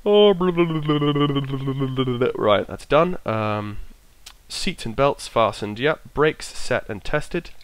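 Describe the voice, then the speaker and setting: A man with a bit of a cold, his voice croaky, casually talking himself through an aircraft checklist and trailing off as he goes. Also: mid-range voice, expressive, takes it slowly. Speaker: flight sim streamer. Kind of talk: casual narrated walkthrough.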